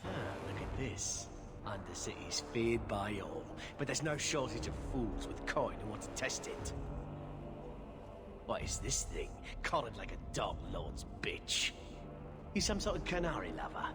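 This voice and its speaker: An actor playing a menacing, resentful gang leader in a video game, talking in sneers and threats. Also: male voice, lowish voice, sing-song, a little slow, over loud music. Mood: sneering. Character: menacing, resentful lowlife thug leader, contemptuous bully. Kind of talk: video game villain acting.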